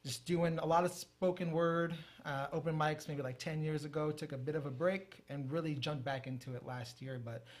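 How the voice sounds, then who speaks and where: A man from the United States, a poet guest, who recites verse in a measured rhythm and speaks more quickly and trails off in conversation, in a TV talk studio. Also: fairly high pitch, steady tone. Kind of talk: poetry recitation and interview answers.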